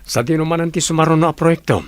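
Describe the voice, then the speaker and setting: A man's voice: fairly high pitch, quick pace, no echo, lightly acted. A man with an Ilocano accent playing an evasive young worker in a village radio drama. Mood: casual.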